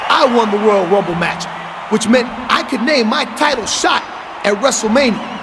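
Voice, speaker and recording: A man's voice: very high-pitched, lively intonation, moderate pace, background noise. A man playing a cocky, brash wrestler in a video game, boastful and emphatic. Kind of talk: video game cutscene acting.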